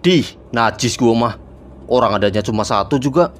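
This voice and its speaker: A man's voice: medium pitch, animated, normal speed, a little hiss or hum, close to an everyday voice. Casting: male actor as easygoing, slightly cocky young cartoon guy on holiday, Jakarta slang, matter-of-fact, mid-range voice. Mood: mildly annoyed. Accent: Jakarta Indonesian. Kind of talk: colloquial cartoon dialogue.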